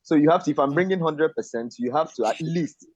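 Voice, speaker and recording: A man's voice: on the high side, a little fast. A man, a guest in a casual online discussion, talking hesitantly and searching for words. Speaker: panel guest. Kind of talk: casual discussion on a video call. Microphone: video call. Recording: dull lo-fi video call audio.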